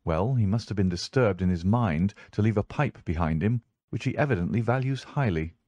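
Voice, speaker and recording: An assured, analytical gentleman detective played by a male narrator in an audiobook. He speaks precisely, as if lecturing, in a fairly low voice with very little room sound. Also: expressive, fairly quick, no room sound, lo-fi sound. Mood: brisk, vexed. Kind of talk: audiobook character voice.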